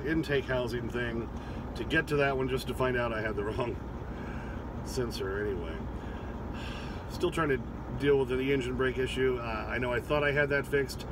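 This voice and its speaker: A man's voice: medium pitch, background noise. A man in his fifties, a truck driver vlogging from his cab, chatty and rambling with many fillers and restarts. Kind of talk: casual vlog talk to camera.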